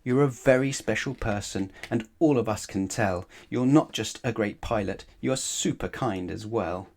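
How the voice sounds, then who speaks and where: A man's voice: on the low side, moderate pace, darkish tone. Storybook co-pilot, a helpful and friendly young woman, read by a man. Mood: admiring.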